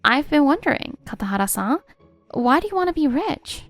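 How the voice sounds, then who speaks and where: A woman playing a sincere, gentle young restaurant employee in a manga drama. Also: high-pitched, sing-song, a little fast. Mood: curious, sincere. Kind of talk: manga voice acting. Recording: music behind the voice.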